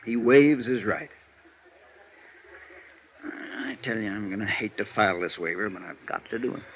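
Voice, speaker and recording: An actor playing a weary, conscientious defense lawyer in old radio drama, earnest and downcast, on a dated recording. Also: male voice, lowish voice, normal speed, natural voice. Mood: frustrated, earnest. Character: weary, conscientious male defense lawyer, troubled by his client. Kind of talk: 1950s radio drama dialogue. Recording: dull, faintly noisy old radio recording.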